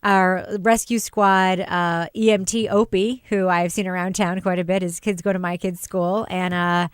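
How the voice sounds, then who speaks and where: A middle-aged woman with a clear, smooth voice, co-hosting a podcast and telling personal stories in casual chat. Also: on the low side, steady tone, normal speed, no echo, clean studio sound. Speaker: podcast co-host.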